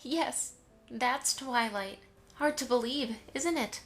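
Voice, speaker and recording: A woman plays a gentle pony mother and grandmother in a fan radio play. Her voice sits a little high and she speaks fondly and reassuringly. Faint music plays behind her. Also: slow. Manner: soft, reassuring, fond. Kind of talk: fan audio drama acting.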